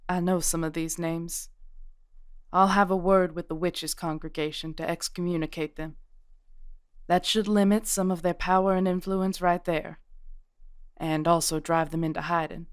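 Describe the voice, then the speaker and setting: Smooth, genteel witch leader played by a woman. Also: fairly low pitch, fairly even intonation, moderate pace, no room sound, studio quality, close to an everyday voice. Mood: composed, commanding. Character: genteel, composed witch leader, politely commanding. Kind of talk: audio drama acting.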